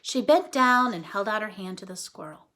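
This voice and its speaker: A woman reading a children's story aloud as a storyteller, with dramatic, deliberate phrasing. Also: mid-range voice, expressive, a bit bright. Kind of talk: expressive children's story narration.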